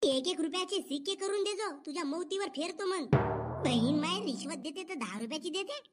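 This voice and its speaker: A man's voice: extremely high pitch, expressive, fairly quick, a bit bright, faint music behind. A man with a rural Maharashtrian accent voicing a cheeky, insulting young brother-in-law in a comedy cartoon, mocking and scolding.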